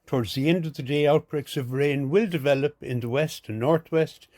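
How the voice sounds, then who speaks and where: A man's voice: on the high side, normal speed, a little mellow, no echo, clean studio sound. An Irish man reading a scripted weather forecast for community radio.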